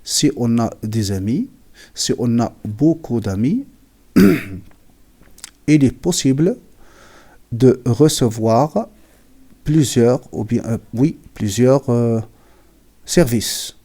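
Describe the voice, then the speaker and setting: A man teaching writing, slowly defining and repeating words, with a cold that makes him clear his throat. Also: medium pitch, lively intonation, warm tone. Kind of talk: slow classroom explanation.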